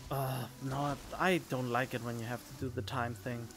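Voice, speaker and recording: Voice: mid-range voice, flat delivery, moderate pace. A game streamer giving casual commentary while playing, speaking into a studio microphone. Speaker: streamer, let's player. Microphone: studio condenser microphone.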